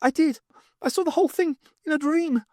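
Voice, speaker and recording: Squeaky little rodent academic for a storybook, shy and fretful, super high voice. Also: male voice, a little fast, warm tone, dry recording, studio quality. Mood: anxious, defensive. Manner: nervous, defensive. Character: timid, worrying large rodent professor, male.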